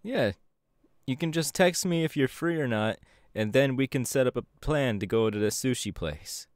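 A man's voice: mid-range voice, expressive, moderate pace, no echo, studio quality, lightly acted. A man playing a friendly, bashful young hero with a hesitant, playful manner in an ASMR audio roleplay. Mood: sincere, bashful.

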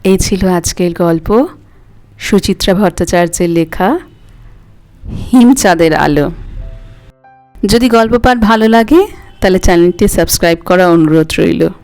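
A woman narrator reading literary fiction aloud in an expressive storytelling style. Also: on the low side, unhurried, long pauses. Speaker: story narrator and channel host. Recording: music under the voice.

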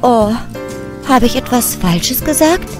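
A woman's voice: fairly high pitch, animated, slow pace, music in the background. A woman playing a seductive, scornful ghost hunter in a fantasy audio drama, sugary or sneering. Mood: sugary, coy.

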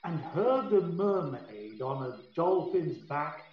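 A man's voice: on the high side, very animated, takes it slowly. A man playing a jealous, imperious, scheming fairy king in a Shakespearean radio play, with theatrical, commanding delivery. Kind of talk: Shakespearean radio drama verse. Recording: very echoey, distant, muffled, music behind. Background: music.

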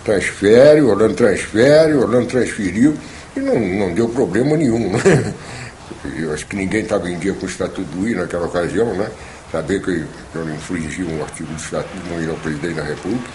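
A man's voice: deep voice, brisk, a little mellow, a little hiss or hum, rough recording. An older man in a documentary interview, speaking emphatically in his own defense.

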